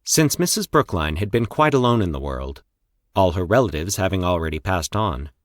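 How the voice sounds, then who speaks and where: A man narrating scripted horror stories as a podcast host, in a theatrical, measured storyteller's manner. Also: low-pitched, lively intonation, dry recording, studio quality.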